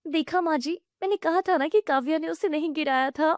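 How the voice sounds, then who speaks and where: Cartoon role: a woman doing a wronged young mother, soft and pleading, high voice. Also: fairly quick, no room sound, studio quality, close to an everyday voice. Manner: pleading, earnest.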